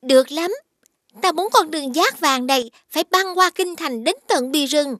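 A woman playing a regal young princess in an animated fairy tale, speaking with poise. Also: sits high, moderate pace, no room sound, clean studio sound. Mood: commanding. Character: regal young princess, wistful yet commanding.

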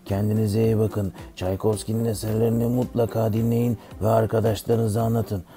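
A man voicing a kindly older music teacher in a cartoon, giving a scripted lesson to children in a gravelly voice. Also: on the low side, flat delivery, normal speed, warm tone, natural voice. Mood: kindly, instructive.

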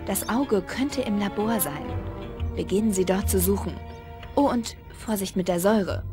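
A secret agency controller from a video game, played by a woman, mid-pitched and official, with a slightly coy touch. She delivers mission briefings over clearly audible music. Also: lightly acted.

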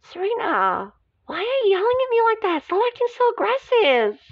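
A woman's voice: very high-pitched, wildly swooping pitch, moderate pace, pitched above the performer's own voice, full character voice. A woman voicing a bossy young female friend, chiding and insistent, in amateur soap-opera role-play. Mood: scolding. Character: Melanie, bossy, scolding, high-voiced girlfriend trying to help. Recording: dull lo-fi recording.

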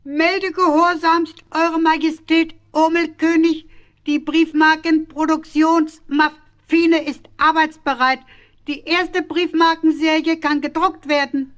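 Little male penguin, extremely high voice, slow delivery, eager, officious, children's radio play. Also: flat delivery, fairly bright tone, heavily acted.

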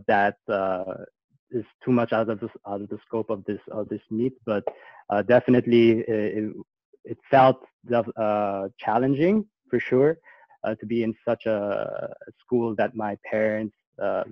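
Man from Austria, low voice, hesitant, lots of uhs, dry muffled video-call sound. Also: no room sound. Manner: hesitant, many fillers and restarts. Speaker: guest speaker. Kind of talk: casual presentation on a video call.

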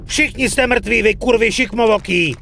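A man's voice: sits high, gravelly, noisy background. An actor playing a hot-headed, foul-mouthed mobster in his thirties, with coarse, blunt delivery in a dubbed crime game. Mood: furious. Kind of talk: dramatic dubbed video game acting.

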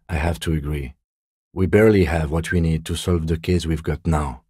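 An actor playing a composed middle-aged police detective in a video game, speaking in a measured, formal, dryly polite way. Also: male voice, very deep voice, mellow, breathy edge, dry recording, studio quality. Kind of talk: dramatic game dialogue, separate voice lines.

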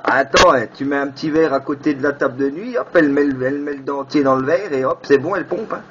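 A man's voice: fairly high pitch, quick pace. A man bantering casually on amateur radio, teasing and crude. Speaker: amateur radio operator, video maker. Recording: muffled, very noisy FM radio chat.

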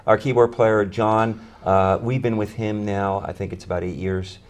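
Middle aged guy, low voice, musician chatting in a studio interview. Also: fairly even intonation, normal speed. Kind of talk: conversational interview answers. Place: small TV studio, indoors. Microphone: lapel mic.

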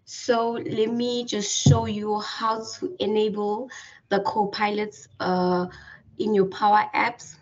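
A woman walking through a software tutorial over a screen recording, hesitant with many fillers and restarts. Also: medium pitch, little pitch movement, slow pace. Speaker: tutorial presenter. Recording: distant, dull lo-fi mic.

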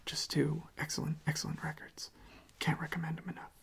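A young man hosts ASMR in a breathy whisper that stays very even. There is a clear creaky fry in his voice. He takes his time, pausing gently as he goes. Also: a little high. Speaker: ASMR video host. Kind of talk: soft-spoken whispered ASMR.

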